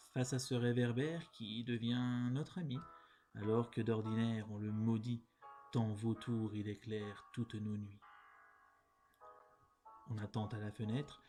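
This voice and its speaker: A man reciting poems in a measured, deliberate way, phrase by phrase. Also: mid-range voice, dark tone. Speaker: poetry reader. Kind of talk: poetry recitation.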